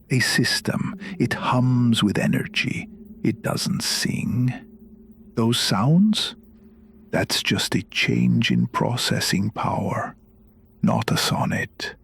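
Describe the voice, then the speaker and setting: A man playing a stern, dismissive senior instructor of a technical order, condescending and measured. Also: mid-range voice, creaky, a little hiss or hum, full character voice.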